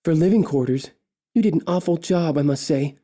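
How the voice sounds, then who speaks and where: A defiant young elf woman talks back to her captor with cheeky sarcasm, as a man puts her on. The voice is pushed well up in pitch and stays mocking. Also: fairly quick, dark tone. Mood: sarcastic.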